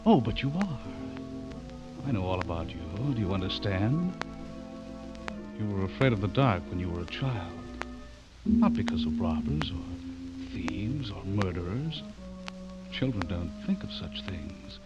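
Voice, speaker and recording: An actor playing an intense horror writer with an insinuating manner, in an old-time radio drama style. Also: male voice, low voice, very animated, measured pace, long pauses. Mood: sinister, taunting. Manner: insinuating, menacing when reciting. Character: intense male horror writer, sinister when reading aloud. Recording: muffled old radio recording, loud music behind.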